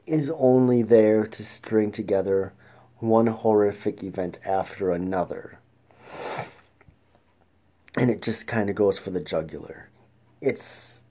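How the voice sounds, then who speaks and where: A man hosting a casual film review show, talking in a halting way with restarts and throat clearing. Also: on the low side, dark tone. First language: English.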